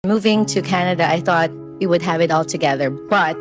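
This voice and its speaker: A woman's voice: lowish voice, fairly quick. A woman from the Philippines, a former nurse, cheerfully giving her testimonial. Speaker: former nurse turned online business owner. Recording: background music.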